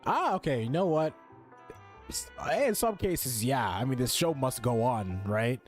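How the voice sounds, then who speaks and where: A man's voice: mid-range voice, animated, measured pace. A man giving casual, off-the-cuff commentary as he plays through a story game. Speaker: gamer reacting to a story quest. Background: game music.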